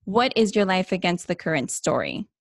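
A woman's voice: on the low side, very animated, normal speed, gravelly, no echo, studio quality. A woman hosting her own interview podcast, opening with a friendly scripted intro and then asking questions. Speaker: podcast host.

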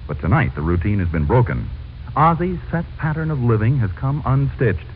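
A man announcing in polished, theatrical 1940s radio style, delivering scripted narration and sponsor pitches. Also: very animated, normal speed, narrow, tinny audio, slight background noise. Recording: thin band-limited old radio broadcast, music behind. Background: music.

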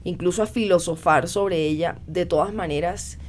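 A woman's voice: fairly low pitch, normal speed. A woman from the coast, speaking as a guest author in an easygoing discussion, with articulate, academic phrasing. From Cartagena, Colombia. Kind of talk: conversational discussion.

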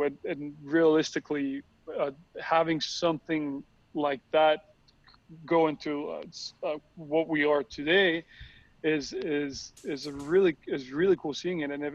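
Young man, from Costa Rica, halting, repeats words, fairly high, flat pitch, dull sound. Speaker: tequila company founder. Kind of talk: casual interview answers. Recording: dry, slightly dull sound.

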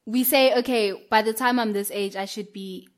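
A woman's voice: on the high side, fairly even intonation, moderate pace. Chatty young woman. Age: young adult. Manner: chatty, laughs often, many fillers. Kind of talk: casual chat.

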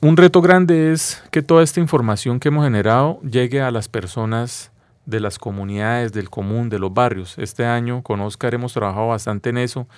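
A man's voice: mid-range voice, normal speed, a little mellow, clean tone, dry recording. A man with a Colombian accent explaining things didactically as an interview guest, often checking with short tag questions.

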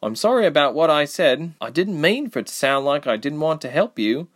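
A bold young heroine played by a man, her voice lifted high and swinging widely. She is fiery and insistent, a loyal, impulsive adventurer in an audiobook story. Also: big pitch swings, a little fast. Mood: apologetic. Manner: emphatic, insistent. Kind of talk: audiobook character voice.

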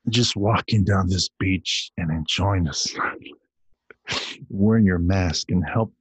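A man's voice: sits low, slow pace, husky, no room sound, rough recording. A man of Samoan heritage hosting his own podcast, rambling playfully and talking straight to his listeners in a casual solo rant. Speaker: podcast host. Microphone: computer recording via video call app.

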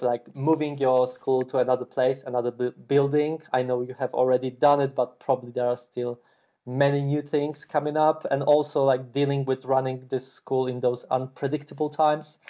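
A man hosts an interview and asks his guest questions in a friendly way. His voice is mellow and dark in tone. He hesitates often and leans on fillers while he finds his words. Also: medium pitch. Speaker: interviewer, podcast host. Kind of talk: casual interview.

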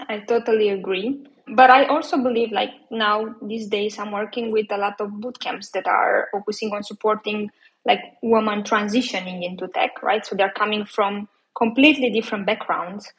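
A woman's voice: mid-range voice, fairly even intonation, moderate pace, poor audio. Chatty woman in her thirties from Romania. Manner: chatty, many fillers and restarts. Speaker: guest. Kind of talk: casual interview.

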